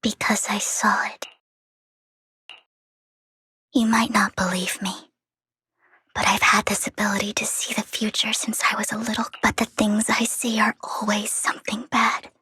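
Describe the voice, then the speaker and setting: An actress playing a burdened, earnest young woman seer in a video game, speaking softly, often near a whisper. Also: mid-range voice, little pitch movement, a little slow, long pauses, husky, full character voice. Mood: melancholy. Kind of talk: dramatic video game voice acting.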